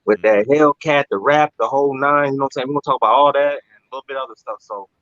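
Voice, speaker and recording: A man's voice: medium pitch, fast talker, a bit bright, no echo, lo-fi sound. A man hosting a casual podcast, talking in an upbeat, hyped way, calling people "man" and "bro". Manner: hype, upbeat, calls everyone "man" and "bro". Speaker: podcast host.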